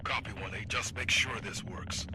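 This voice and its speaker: An actor playing a stern mission controller, giving curt, commanding military orders in a video game. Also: male voice, fairly low pitch, moderate pace, background noise, lightly acted.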